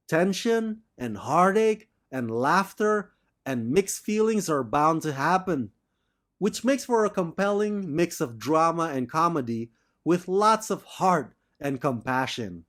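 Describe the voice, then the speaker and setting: A man delivering a measured, enthusiastic film review monologue, laughing now and then. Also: on the high side, animated. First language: not Thai.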